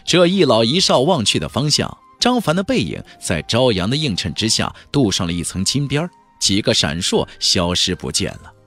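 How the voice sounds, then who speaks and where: A man narrating a fantasy audiobook as a dramatic, expressive storyteller. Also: mid-range voice, moderate pace, few pauses, faint music behind.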